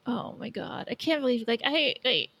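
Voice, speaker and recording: A woman's voice: fairly high pitch, big pitch swings, normal speed, creaky, a bit bright, no room sound. A woman co-hosting a casual podcast about film and TV, talking in an emphatic, conversational way. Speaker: podcast co-host.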